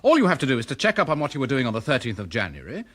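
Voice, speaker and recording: A man's voice: mid-range voice, wildly swooping pitch, rapid-fire, fairly bright tone. A man playing the detective's eager, cheerful friend in a vintage radio drama.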